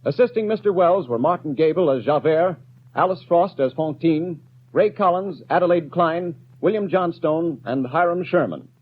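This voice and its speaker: An American man giving formal, measured scripted announcements as a 1930s network radio announcer. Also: a little high, expressive. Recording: tinny, phone-like 1930s radio broadcast.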